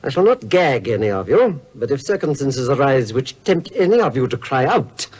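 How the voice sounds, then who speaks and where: An actor playing a sadistic, menacing villain professor in a radio serial, speaking with relish and theatrical precision. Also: male voice, mid-range voice, very animated, normal speed, darkish tone, breathy edge. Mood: gloating menace. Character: sadistic, silky-voiced male villain professor with a steel claw. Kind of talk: 1970s British radio serial acting. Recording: noisy radio drama with car sounds.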